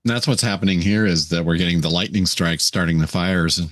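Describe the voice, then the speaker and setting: A man co-hosting a podcast in casual chat, a little raspy today, easygoing and chatty. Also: deep voice, fairly quick, a bit bright, dry recording, studio quality. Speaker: podcast co-host.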